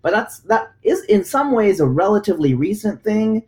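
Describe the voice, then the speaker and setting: A man's voice: high voice, sing-song, moderate pace, darkish tone. Rambling man, lots of likes and restarts. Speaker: podcast host. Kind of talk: casual discussion.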